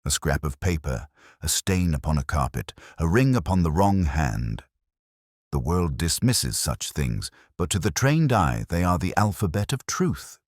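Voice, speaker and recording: A man's voice: low-pitched, very animated, a little slow, darkish tone, no room sound, clean studio sound, heavily acted. A man voicing a cerebral detective who speaks in a grave, didactic way with formal Victorian phrasing. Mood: earnest, instructive.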